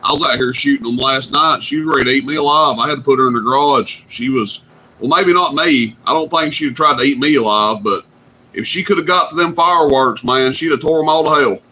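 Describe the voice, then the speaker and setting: Bright-voiced man chatting on CB. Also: medium pitch, a little fast, slight background noise, low-quality recording. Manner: drawling, easygoing storyteller. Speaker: CB radio host. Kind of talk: casual radio chat.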